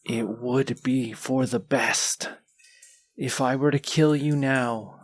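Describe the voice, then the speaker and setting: Man voicing a haughty, very slow-talking menacing dragon. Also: mid-range voice, steady tone. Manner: slow, deliberate, pompous, savoring threats. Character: menacing, haughty wounded dragon guarding its hoard. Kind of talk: fantasy audio roleplay acting.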